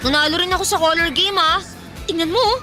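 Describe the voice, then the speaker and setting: A woman's voice: very high voice, fairly quick. An actress playing a carefree young woman who talks in a bubbly, teasing way, in radio drama style. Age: young adult. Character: cheerful young woman, carefree, fair-loving. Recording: music and crowd noise behind.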